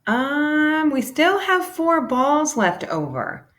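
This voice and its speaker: A woman's voice: sits high, big pitch swings, takes it slowly, a bit bright, pitched above the performer's own voice, full character voice. A woman voicing an eager, friendly cartoon math symbol in a children's book, with a perky, exclamatory delivery.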